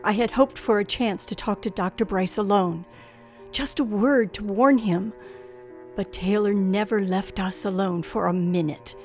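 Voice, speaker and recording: A woman's voice: medium pitch, unhurried, close to an everyday voice. An actress playing a frightened woman narrating her own ordeal in a 1940s-style radio thriller, dramatic and suspenseful. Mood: tense, hushed. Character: frightened young woman narrator held captive by killer. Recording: old radio drama with music behind.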